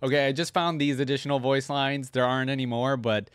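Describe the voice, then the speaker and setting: A man's voice: medium pitch, fairly even intonation, brisk, clear, resonant tone, no room sound. A man hosting a casual reaction video, chatty and enthusiastic with frequent appreciative interjections.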